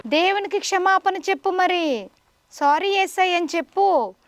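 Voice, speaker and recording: High-voiced woman teacher, instructive. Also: expressive, a little slow, loud music behind the voice. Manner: instructive, patient explaining to a child. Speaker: teacher in a puppet show.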